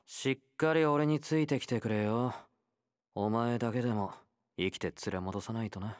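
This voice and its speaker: A man's voice: mid-range voice, moderate pace, a little mellow, no echo, clean studio sound, close to an everyday voice. A male actor playing a laid-back young convict fighter in a game, blunt, teasing and nonchalant, with a drawl. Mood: resolute. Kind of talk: Japanese game character voice lines.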